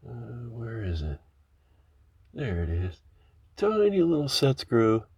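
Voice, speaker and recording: A man's voice: medium pitch, huge pitch swings, slow pace, darkish tone, husky, no echo, lo-fi sound. A man hosting a car hobby video, narrating his hands-on work casually, musing aloud and easily amazed. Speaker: host of a car hobby channel.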